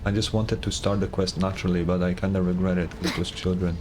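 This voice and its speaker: A man's voice: deep voice, little pitch movement, quick pace, background noise. A man streaming a game, giving casual, offhand commentary in short muttered reactions.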